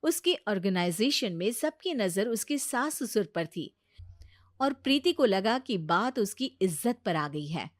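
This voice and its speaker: Lively woman narrating a story. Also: medium pitch, unhurried, no room sound, studio quality. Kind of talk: expressive scripted narration.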